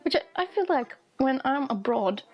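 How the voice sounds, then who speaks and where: A young woman from Eastern Europe rambles through a relaxed vlog, her voice sitting a little on the high side. She laughs easily and slips into the voices of people she quotes. Quiet music plays underneath. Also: normal speed, lo-fi sound. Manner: chatty and amused, laughs often, acts out quoted people. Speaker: vlogger. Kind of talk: casual rambling chat while drawing.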